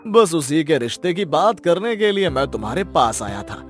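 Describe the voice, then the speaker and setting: A man's voice: fairly high pitch, sing-song, moderate pace, faint music behind. A man voicing a kindly, avuncular elderly village uncle in a cartoon moral story. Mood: genial, coaxing. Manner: familiar, avuncular. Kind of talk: Hindi animated moral story dialogue.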